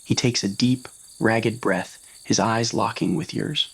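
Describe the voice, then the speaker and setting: A man's voice: fairly low pitch, fairly even intonation, measured pace, vocal fry, slightly rough, rough recording. Man narrating a scripted romantic story. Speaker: narrator of fan fiction audio roleplay.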